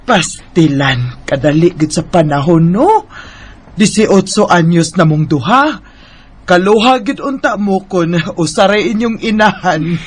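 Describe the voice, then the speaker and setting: A woman playing an affectionate, sentimental middle-aged mother in a radio drama, with drawn-out emotional delivery. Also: fairly low pitch, lively intonation, slightly rough. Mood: doting, cheerful.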